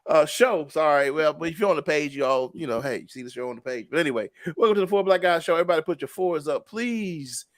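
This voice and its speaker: A man's voice: fairly high pitch, expressive, fast talker, few pauses, dry recording. A man hosting a casual livestream talk show, chatty and greeting viewers by name. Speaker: show host.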